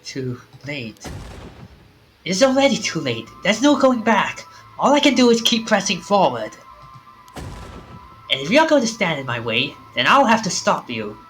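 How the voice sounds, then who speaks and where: A desperate, stubborn visual novel protagonist, played by a man, speaks with urgency and passion. The voice is bright and forceful, with faint music underneath. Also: high voice, very animated, unhurried, pitched above the performer's own voice, exaggerated voice. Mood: desperate defiance. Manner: urgent, impassioned, self-questioning. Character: desperate, stubborn man caught in a deadly curse.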